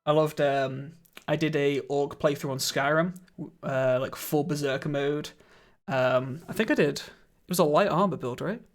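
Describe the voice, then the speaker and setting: A man speaking into a studio microphone as he streams a game, his voice sitting a touch higher than most men's. He chats casually with viewers, thanks them warmly and laughs easily, and his voice sounds slightly croaky. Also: moderate pace. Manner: chatty, laughs often, greets and thanks viewers.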